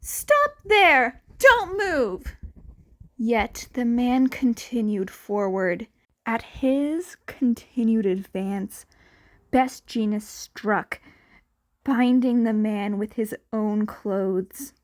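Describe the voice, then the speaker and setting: A woman narrating fan fiction as an amateur audiobook reader, in an expressive scripted style. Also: mid-range voice, slow.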